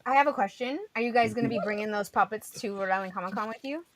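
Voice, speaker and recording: A woman's voice: mid-range voice, racing, no echo. Dry, close-sounding woman with mid-range voice. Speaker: co-host. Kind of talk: casual panel chat.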